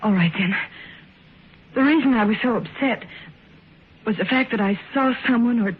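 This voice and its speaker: A woman's voice: a little high, slightly rough. A frightened young woman. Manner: anxious, halting, breathless storytelling. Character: frightened young woman Elaine, haunted by shadows. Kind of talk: 1950s radio drama acting. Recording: tinny old radio broadcast, faint hiss.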